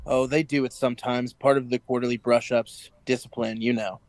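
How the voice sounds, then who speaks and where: An actor playing an anxious, obedient party worker with hidden doubts in a radio drama, earnest and dutiful. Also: male voice, mid-range voice, level tone, a little fast, darkish tone, faint music behind, lightly acted. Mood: uneasy, defensive.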